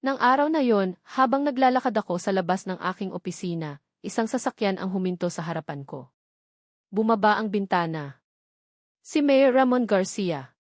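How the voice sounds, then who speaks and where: A woman's voice: medium pitch, lively intonation, moderate pace, no echo, clean studio sound. A woman reading scripted first-person narration of a dramatic story.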